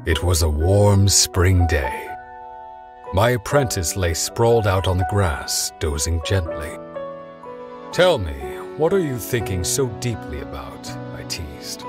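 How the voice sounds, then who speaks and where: An old-tale style knight narrator, low voice, gentle n wistful, loud music behind. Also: male voice, sing-song, full character voice. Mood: wistful, reflective. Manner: gentle, measured storytelling. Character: wistful, reflective male knight recalling his past. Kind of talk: dramatic first-person game narration. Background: loud background music.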